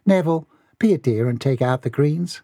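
Fretful kitchen woman, played by a male narrator, motherly, soothing, slightly high, dry sound. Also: animated, moderate pace, mellow, no room sound. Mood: busy. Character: fussy, timid, motherly woman cook.

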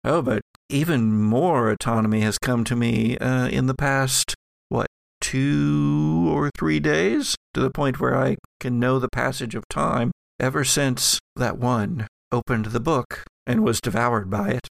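A man's voice: medium pitch, a little slow, creaky, no room sound. A man voicing an ancient female spirit made of ectoplasm in an audio drama game, musing evasively and searching for words. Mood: musing, uncertain.

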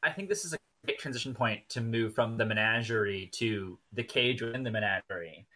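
Man with a bright, clear-cut podcast voice. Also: lowish voice, fairly quick. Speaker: podcast co-host. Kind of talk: casual analytical discussion of a TV episode.

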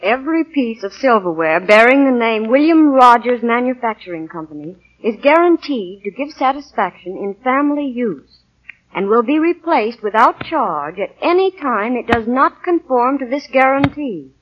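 A woman's voice: on the high side, animated, moderate pace. A woman reading formally in a 1940s radio advertisement. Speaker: advertisement reader. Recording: dull 1940 radio broadcast.

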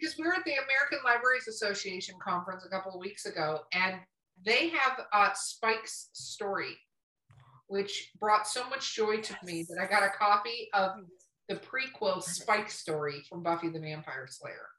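A woman's voice: mid-range voice, animated, normal speed, bright, forceful tone. A woman of around fifty chatting casually about books, opinionated and rambling, swearing freely. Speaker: podcast co-host. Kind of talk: casual book chat. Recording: roomy, echoing sound.

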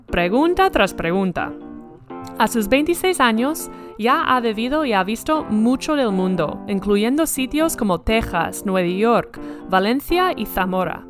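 Bilingual woman podcast host, higher voice. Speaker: podcast host, former basketball player. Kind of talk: scripted intro then casual interview.

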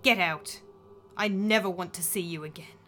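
A woman's voice: fairly low pitch, normal speed, sharp tone, a little hiss or hum. A woman voicing a grief-stricken young woman who screams and sobs furious accusations. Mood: cold contempt.

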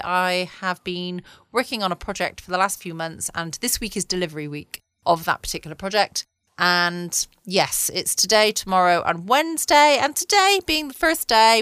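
A woman's voice: medium pitch, smooth, no echo. A woman from England, chatting casually as host of a late-night podcast from home. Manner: chatty and relaxed, with small laughs.

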